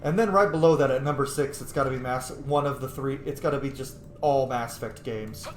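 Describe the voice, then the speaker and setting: A man's voice: medium pitch, fairly quick. A man giving casual, playful video game commentary, swearing now and then and rambling off into asides. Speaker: let's play streamer. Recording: roomy echo, faint background noise.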